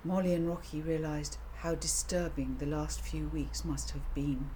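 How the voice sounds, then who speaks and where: Female storybook narrator, unhurried delivery, children's audiobook read, faint background noise. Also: low voice, steady tone, dark tone, rough recording. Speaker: author reading their own novel.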